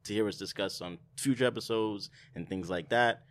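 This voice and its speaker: A man co-hosting a podcast who keeps things on track, calm and affirming. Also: lowish voice, brisk. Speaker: podcast co-host. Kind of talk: casual chat, show sign-off.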